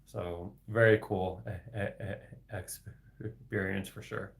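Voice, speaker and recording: A young man hosting a casual solo livestream, speaking with a heavy stutter full of sound repetitions and blocks and breaking into soft laughs. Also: on the low side, steady tone, takes it slowly, creaky, a little hiss or hum. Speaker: livestream host reading viewer questions.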